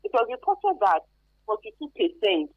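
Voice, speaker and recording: A woman's voice: a little high, wildly swooping pitch, normal speed. A woman with a Nigerian accent explaining things emphatically and spontaneously as an interview guest, heard over a thin phone line. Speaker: expert guest on human trafficking. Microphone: phone call.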